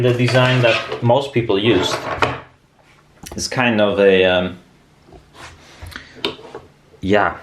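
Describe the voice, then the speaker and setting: A man's voice: medium pitch, background noise. A man giving a casual workshop demonstration as a DIY maker, rambling and thinking aloud as he goes. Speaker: DIY maker presenting a build video.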